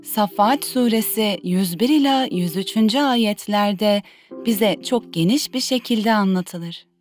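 A woman voicing a young mother who gently tells her children a religious story in a cartoon dub. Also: medium pitch, unhurried, faint music behind, lightly acted. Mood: gentle, reverent.

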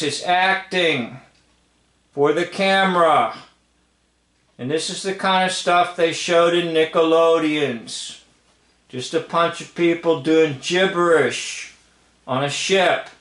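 A man's voice: on the high side, takes it slowly, a bit bright. A man giving off-the-cuff commentary over film footage, emphatic, repeating phrases and checking in with the listener. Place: indoor room, filming a screen. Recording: roomy, echoing home recording.

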